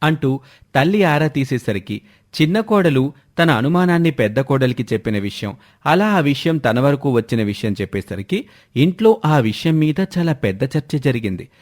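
A man's voice: on the high side, a little mellow. A man narrating a scripted moral story as the storyteller.

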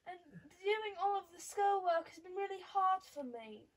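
A man's voice: very high-pitched, lively intonation, normal speed, a bit bright, distant mic, pitched above the performer's own voice. A man voicing a glum, fretful female puppet character who complains plaintively, heard slightly away from the mic. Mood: sad, worried.

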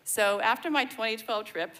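An American woman in her sixties gives a measured, reflective personal speech at a podium microphone on an outdoor festival stage. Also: a little high, fairly bright tone, low-quality recording. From born in Denmark, raised in the United States. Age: 60s. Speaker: guest speaker at a celebration. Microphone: podium mic on a stand.

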